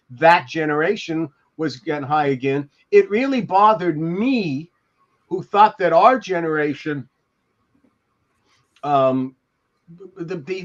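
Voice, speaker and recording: An older man talks casually, telling stories in a halting, meandering way. His voice sits a little high for a man. The sound is dull and lo-fi, as on a video call. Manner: halting, rambling storyteller. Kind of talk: casual reminiscing. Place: indoor room on a video call.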